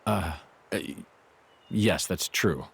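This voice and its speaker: A calm, loyal attendant in a video game drama. His voice is deep and very husky, and he speaks slowly with quiet deference. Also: full character voice. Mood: obedient. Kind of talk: video game cutscene acting.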